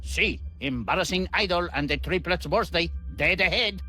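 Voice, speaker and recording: A man's voice: sits high, normal speed, bright, forceful tone, faint music behind. An actor playing a boastful mariachi band captain with a Spanish accent in a video game, mixing Spanish words into his English. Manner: theatrical, sprinkles Spanish into English.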